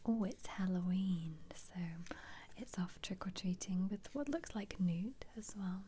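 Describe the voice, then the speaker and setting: A woman whispering in a breathy, playful ASMR style, musing aloud as she looks through a picture book. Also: medium pitch, unhurried, warm tone, slight background noise. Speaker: ASMR host.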